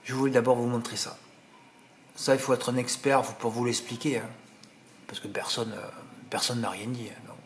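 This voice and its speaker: A man with a regional French accent talks at a middle pitch, rambling and indignant as he gives his racing tips. He keeps tossing in little tag words. A faint hiss sits under the recording. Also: flat delivery, normal speed. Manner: indignant, rambling, full of "hein" and "voilà". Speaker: horse racing tipster. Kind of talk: casual monologue to subscribers.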